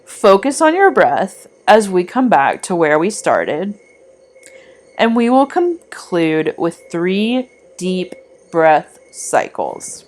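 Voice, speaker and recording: A woman reading a scripted guided meditation in a soothing, deliberate, gently instructive manner. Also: lowish voice, sing-song. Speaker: meditation guide, art teacher.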